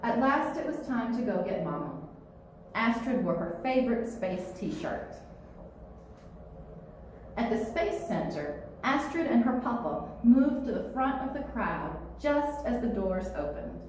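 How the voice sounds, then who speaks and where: Female children's librarian, read-aloud narration, expressive and animated, clear phrasing, heavily reverberant room. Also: on the high side, unhurried. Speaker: children's librarian reading storytime. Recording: very echoey room, faint noise.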